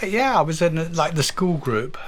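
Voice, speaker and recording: Older English man, interview guest; halting, filler-heavy storyteller. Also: a little high, animated, a bit bright. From England. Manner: halting, with many fillers and repeated words. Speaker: guest, drummer.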